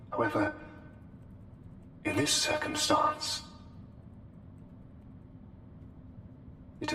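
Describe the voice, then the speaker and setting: A man voicing an otherworldly, courtly plague doctor in an intimate ASMR roleplay, speaking formally and deliberately with hesitant pauses. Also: mid-range voice, pushed and bright, pitched above the performer's own voice, exaggerated voice. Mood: clinical, curious. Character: courtly, intense male plague doctor, an otherworldly anomalous being. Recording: echoey hall reverb, music behind. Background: music.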